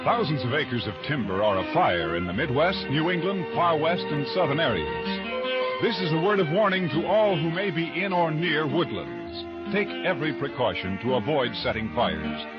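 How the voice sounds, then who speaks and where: A man's voice: fairly high pitch, lively intonation, normal speed. An American man reading scripted announcements in a formal, emphatic 1950s radio style. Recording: muffled old radio broadcast, faint music.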